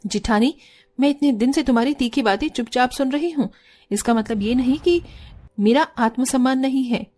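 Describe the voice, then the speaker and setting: A woman's voice: high voice, moderate pace, a little mellow, faint music behind. A woman voicing a put-upon, self-respecting young sister-in-law in a cartoon story, plain-spoken and aggrieved. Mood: indignant. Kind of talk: Hindi cartoon story acting.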